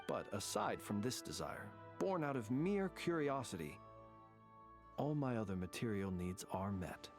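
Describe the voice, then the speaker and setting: An actor playing a courteous, solemn gentleman in a video game, speaking in formal, ornate and measured phrases. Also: lowish voice, big pitch swings. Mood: courteous, gracious. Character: courteous, solemn gentleman who lived alone in a cemetery. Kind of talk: scripted video game character dialogue. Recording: game music behind the voice.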